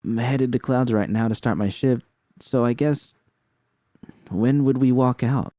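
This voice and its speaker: Man voicing a nervous young woman, lifted pitch. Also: fairly even intonation, unhurried, warm tone, no echo, clean studio sound, close to an everyday voice. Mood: scared, hesitant. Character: nervous, hopeful young woman friend working as a doll.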